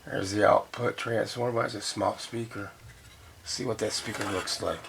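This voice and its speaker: A man's voice: on the low side, steady tone, normal speed, gravelly, a bit bright. A man giving casual, unscripted commentary as he works on a repair, half talking to himself as he inspects and handles parts. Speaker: radio repair hobbyist narrating a restoration. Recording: noisy workshop recording with clicks.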